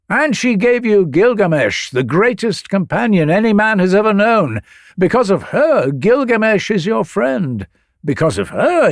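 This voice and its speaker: A man's voice: sits high, no echo, studio quality, pitched above the performer's own voice, heavily acted. A man voicing a noble sun god, earnest and persuasive, reasoning with a mortal in a myth story.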